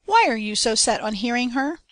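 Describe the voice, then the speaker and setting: A woman's voice: a little high, big pitch swings, brisk, a bit bright. A woman voicing a hearty, kindly young farmhand boy in a story reading, folksy and sympathetic. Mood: curious.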